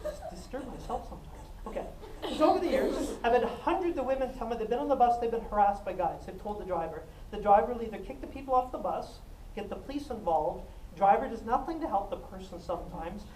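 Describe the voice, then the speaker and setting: A woman giving a self-defense talk, animated and jokey, often laughing and acting out what people said. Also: on the high side, fast. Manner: animated, jokey, laughs a lot, acts out quotes. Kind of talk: lively storytelling talk to a class. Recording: echoey room, mic at a distance, faint noise.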